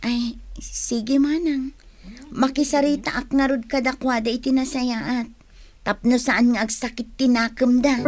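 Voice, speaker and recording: A woman's voice: high voice, a little mellow. A woman playing a polite, earnest younger market helper in an Ilocano radio drama. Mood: polite, eager.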